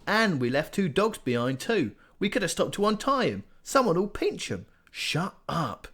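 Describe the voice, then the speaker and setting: Grumpy rat catcher guy, snappy, lively pitch a man puts it on. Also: normal speed, full character voice. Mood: grumbling. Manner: gruff, snappish. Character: grumbling rat catcher, middle-aged man, surly.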